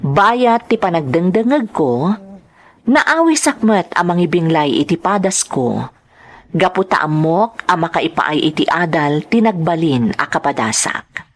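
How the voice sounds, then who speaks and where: An actress voicing a reflective woman in measured, scripted first-person drama narration of her life. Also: lowish voice, lively intonation.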